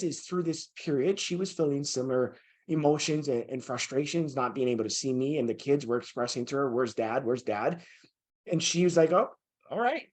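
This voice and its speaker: A man in his thirties from Hawaii tells his story as an interview guest, talking earnestly and often repeating words as he restarts. His voice sits a bit high for a man. He speaks over a video call from a home office. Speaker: guest, real estate investor.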